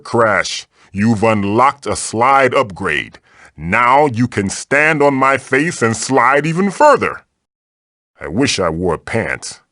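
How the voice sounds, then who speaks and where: A man's voice: medium pitch, fairly bright tone, dry recording, clean studio sound, heavily acted. A man voicing a wise, wisecracking ancient magical mask who guides players through a video game in a theatrical, avuncular, wry way. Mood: impressed, excited, then wry, idle. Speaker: game guide character giving tutorials. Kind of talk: animated video game voice acting.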